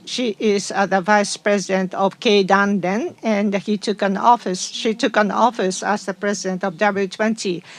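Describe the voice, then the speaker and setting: Woman, simultaneous interpreter, hesitant with many uhs, mid pitch. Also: steady tone. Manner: halting, frequent fillers and restarts. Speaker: conference interpreter.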